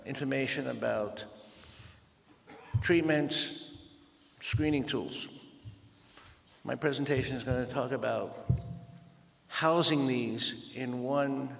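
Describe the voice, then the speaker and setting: A man with a Dutch accent speaks at a mid pitch with a gravelly edge, delivering a conference talk. He pauses often and fills gaps with hesitations. The sound rings with the long reverb of a large hall. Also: fairly bright tone. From Netherlands. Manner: measured, with frequent pauses and fillers. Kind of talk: conference presentation.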